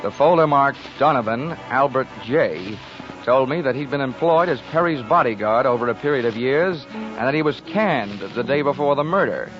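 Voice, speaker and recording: An old, muffled 1940s radio recording with music in it carries a wry, hard-boiled insurance investigator, played by a man. He narrates and trades wisecracks in a clipped way. His pitch moves around in a lively manner. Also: moderate pace, lightly acted. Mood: brisk narrating. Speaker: lead actor and narrator.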